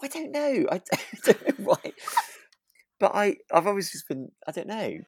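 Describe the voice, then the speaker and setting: A man's voice: high-pitched, very animated, normal speed, a little mellow, slightly rough, no room sound, studio quality. A man co-hosting a casual review podcast, agreeable and a little hesitant, often chuckling as he talks. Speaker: co-host of a radio drama review podcast. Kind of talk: casual chat.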